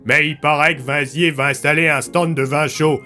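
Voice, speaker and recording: An actor playing an easygoing, joking police lieutenant in an audio drama, casual and wry. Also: male voice, on the high side, steady tone, normal speed, pushed and bright, faint music behind.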